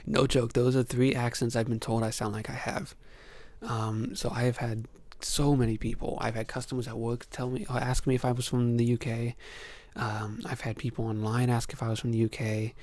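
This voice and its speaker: A young man from Ohio hosting a soft-spoken ASMR Q&A, answering questions hesitantly with many ums. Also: medium pitch, flat delivery, normal speed, no room sound. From Ohio, USA. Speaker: ASMR channel host.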